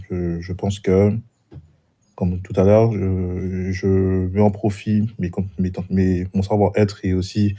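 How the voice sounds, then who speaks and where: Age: adult. Sex: man. Origin: Martinique. Role: roleplay job applicant. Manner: hesitant, restarts often. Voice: low-pitched, dark and mellow. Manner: hesitant, many fillers and restarts. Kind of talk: in-character job interview.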